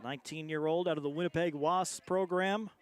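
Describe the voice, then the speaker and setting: Male colour commentator, slightly high voice, chatty analysis of live rugby outdoors. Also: fairly even intonation, a little fast. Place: outdoors at a sports field.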